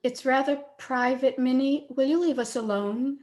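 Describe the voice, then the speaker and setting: A slightly high female voice from an actress as a lovestruck, headstrong young daughter. The delivery is earnest and a touch petulant, in old-fashioned radio-play style. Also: moderate pace, a little mellow, close to an everyday voice. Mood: earnest, pleading. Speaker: actor in an amateur radio play. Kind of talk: old-time radio drama acting. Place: at home, recorded over video call.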